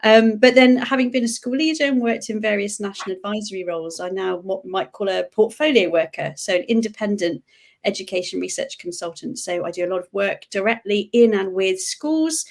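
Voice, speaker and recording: A middle-aged woman talks as a guest on an education webinar. She is lively and pokes fun at herself. Her voice sits at a middle pitch. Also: brisk. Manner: lively and self-deprecating.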